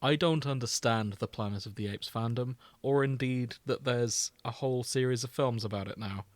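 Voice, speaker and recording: A man's voice: mid-range voice, normal speed, no room sound. A British man co-hosting a podcast in casual comedic chat, irreverent, rambling and sweary. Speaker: podcast co-host.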